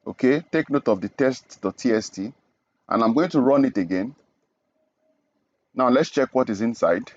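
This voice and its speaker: A young man from Nigeria walks through a coding lesson step by step, pausing to check in with a quick "okay?". His voice sits at an ordinary middle pitch. The sound is dry and a little dull, recorded close at a desk. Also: lively intonation, no room sound. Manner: explains step by step, often adds "okay?". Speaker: programming instructor. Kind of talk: screen-recorded coding tutorial.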